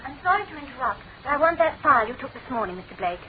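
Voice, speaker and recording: A thin, phone-like radio drama recording. a pert British secretary, proper and tart, her lines animated with dry wit. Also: high-pitched, very fast, background noise. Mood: polite. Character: pert, witty female detective's secretary.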